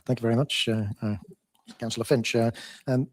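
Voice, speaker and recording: A man chairing a formal meeting, guiding procedure in a halting way. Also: mid-range voice, steady tone.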